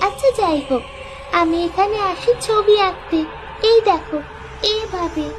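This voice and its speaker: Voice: extremely high pitch, measured pace, noisy background. A performer voicing a mysterious, playful little village girl in a children's cartoon, with a coaxing, childlike manner. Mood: friendly, curious. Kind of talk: children's animated horror cartoon dialogue. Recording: music behind the voice.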